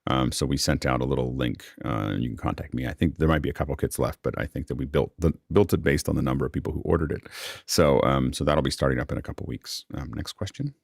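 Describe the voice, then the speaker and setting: Very deep, creaky-voiced male host. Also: quick pace, few pauses, dry recording, studio quality. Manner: informal, explanatory. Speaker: host and moderator of a panel. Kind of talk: casual tech Q&A discussion.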